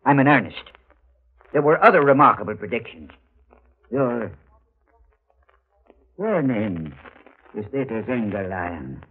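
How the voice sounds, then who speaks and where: Earnest, husky old scholarly librarian. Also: male voice, mid-range voice, animated, exaggerated voice. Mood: excited, earnest. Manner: formal, precise, halting, bookish diction. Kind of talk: 1940s radio drama acting. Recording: muffled vintage radio recording.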